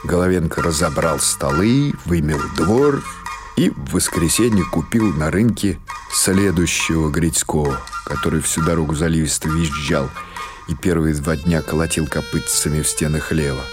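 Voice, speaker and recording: A man's voice: very deep voice, moderate pace. A man reading literary prose as an audiobook narrator, in a scripted storytelling style. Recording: music behind the voice. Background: music.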